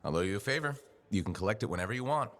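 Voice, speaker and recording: An actor playing a laid-back armored freelancer with dry humor, in a game cutscene. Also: male voice, fairly low pitch, animated, rapid-fire, a bit bright. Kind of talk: video game cutscene acting.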